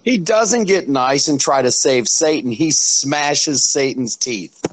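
A man's voice: mid-range voice, expressive, slow pace, slight background noise. Blunt man chiming in. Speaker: group member. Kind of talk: casual discussion.

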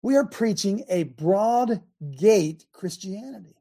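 A male talk show host with a scratchy, husky voice worn by chronic laryngitis and a lingering cough. His tone is dark and mellow. He rambles loosely, then drives his points home with fervent, emphatic preaching. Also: sits high, animated, slow pace. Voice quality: raspy, scratchy voice. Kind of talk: fiery preaching talk radio.

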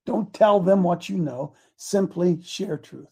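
A man's voice: high-pitched, slow, creaky, mellow, husky. A man in his seventies preaching a casual, folksy sermon, repeating his points with emphasis. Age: 70s.

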